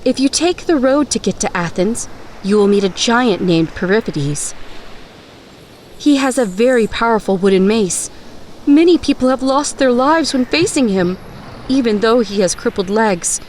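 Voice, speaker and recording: A woman voicing a loving, worried mother in an animated story, speaking tenderly and solemnly. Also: on the high side, normal speed, slight background noise, lightly acted. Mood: earnest. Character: loving mother of a young hero, worried, wise.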